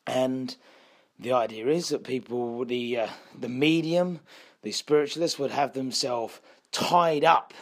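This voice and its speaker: A man, a croaky and husky voice, hosting a solo podcast and telling history in a chatty, explanatory way. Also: mid-range voice. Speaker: podcast host and escape artist.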